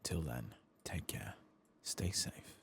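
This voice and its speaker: A soft-spoken, hushed man giving unhurried, understated ASMR commentary over a video game. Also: a bass voice, animated, gravelly. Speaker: host of a gaming playthrough channel. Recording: faint background noise.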